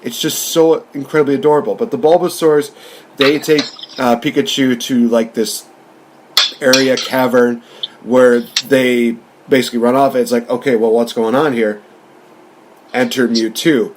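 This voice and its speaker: A man's voice: medium pitch, fairly even intonation, normal speed, rough recording. A man co-hosting a podcast, casually recapping a film and commenting as the story goes. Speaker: podcast co-host. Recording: faint background ambient noise.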